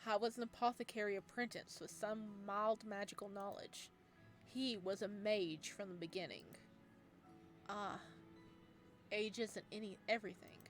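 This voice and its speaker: A woman reading a shy, gentle male god. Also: medium pitch, steady tone, moderate pace, soft background music, close to an everyday voice. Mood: calm. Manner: soft, hesitant, stammering when flustered. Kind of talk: visual novel dialogue read aloud.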